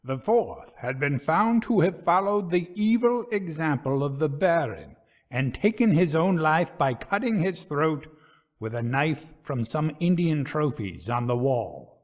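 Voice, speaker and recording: A man reading a literary ghost story as a storyteller, in measured, dramatic, formal narration. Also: fairly high pitch, lively intonation.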